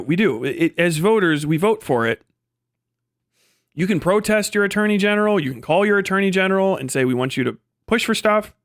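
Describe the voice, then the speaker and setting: Higher voiced guy livestreaming, argumentative and incredulous, swears a lot, dry close sound. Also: fairly bright tone, dry recording. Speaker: livestream host reviewing own debate. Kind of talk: casual livestream commentary with profanity.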